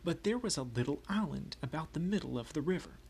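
A man's voice: on the high side, lively intonation, fast, vocal fry, darkish tone, slight background noise. A man voicing a folksy Confederate private with a Southern US accent, telling war memories as a first-person memoir. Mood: wry reminiscing.

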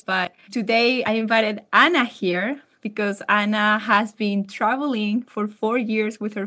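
A woman's voice: on the high side, fairly bright tone. A woman hosting a podcast, introducing the show and a guest in a friendly, upbeat way. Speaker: podcast host. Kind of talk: podcast interview. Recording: faint music behind.

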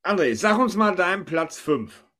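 A man's voice: high-pitched, animated, normal speed, sharp tone. A man hosting a casual podcast chat, talking informally. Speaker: podcast host.

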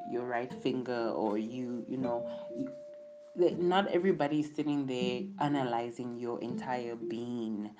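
A woman speaking candidly in a casual motivational monologue, acting out what people say. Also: extremely low pitch. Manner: candid, animated, acts out quoted remarks. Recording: music playing underneath.

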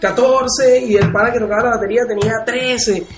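A man in his late twenties, a musician guest, talking excitedly and laughing often. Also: very high voice, a little fast, roomy sound, over loud music. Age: 20s. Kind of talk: casual podcast chat.

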